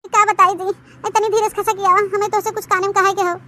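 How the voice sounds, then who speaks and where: A woman's voice: very high voice, flat delivery, brisk, pushed and bright. A woman voicing an anxious, plaintive village mother-in-law in a comedy cartoon, speaking wordily with an Awadhi accent. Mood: pleading.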